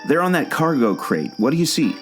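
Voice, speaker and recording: A man's voice: a little high, sing-song, fairly quick, fairly bright tone, faint music behind. A man playing an eager, knowing explorer guide in a scripted audio drama, talking like an earnest storyteller. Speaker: co-host of a theme park podcast.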